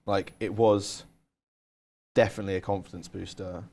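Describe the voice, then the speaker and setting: Deep-voiced young man. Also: little pitch movement, moderate pace, gravelly, darkish tone. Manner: candid, storytelling, uses "like" a lot. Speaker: podcast co-host. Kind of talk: casual chat.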